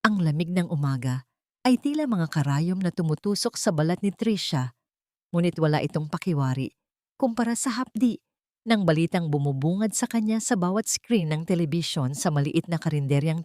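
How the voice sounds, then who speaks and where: A woman narrating a scripted dramatic story in a measured, dramatic storytelling manner. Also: lowish voice, darkish tone, dry recording, studio quality.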